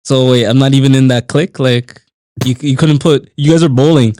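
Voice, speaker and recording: A man's voice: mid-range voice, steady tone, a little fast, warm tone, no echo, clean studio sound. Teasing young man on a podcast. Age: 20s. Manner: teasing, playful banter. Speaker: podcast host. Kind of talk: casual chat.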